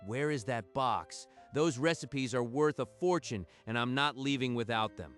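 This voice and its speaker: A man's voice: medium pitch, moderate pace, a bit bright, close to an everyday voice. A man playing an arrogant, scheming young villain cousin in a manga dub, indignant and accusing, with music behind him. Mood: greedy, menacing. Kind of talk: anime-style manga dub acting.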